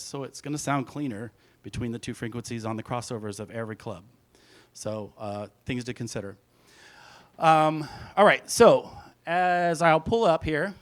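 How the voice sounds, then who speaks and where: A man, a music producer, giving an informal, rambling hands-on presentation, talking through each step aloud as he goes. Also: medium pitch. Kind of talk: casual hands-on audio production talk.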